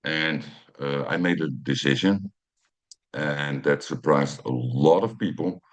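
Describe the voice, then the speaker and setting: A Dutch man in his fifties, speaking English as a second language, telling a personal story to camera with many pauses and fillers while glancing at notes. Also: extremely low pitch. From Netherlands. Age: 50s. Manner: hesitant, many ums and uhs, reads from notes. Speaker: channel host.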